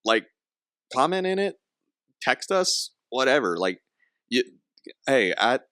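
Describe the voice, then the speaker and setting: A man's voice: medium pitch, big pitch swings, slow, fairly bright tone, no room sound, clean studio sound. A young man hosting a casual podcast, rambling with frequent laughs and restarts. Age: young adult. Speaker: podcast host.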